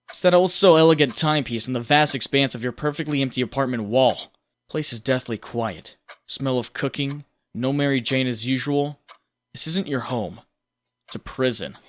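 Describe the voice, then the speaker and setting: A man's voice: mid-range voice, lightly acted. A man playing a young hero's brooding, guilt-ridden inner voice in a comic dub, sardonic and intense in second-person narration. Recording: long cavernous reverb.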